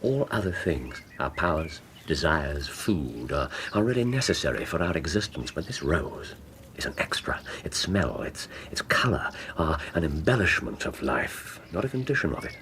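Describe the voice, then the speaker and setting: An actor playing a brilliant, eccentric Victorian detective in a radio drama, with an English accent and a theatrical, musing manner. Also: male voice, extremely low pitch, big pitch swings, normal speed, a bit bright, slight background noise, full character voice. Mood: dreamy, philosophical. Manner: theatrical, musing, punctuated with hesitations and hums.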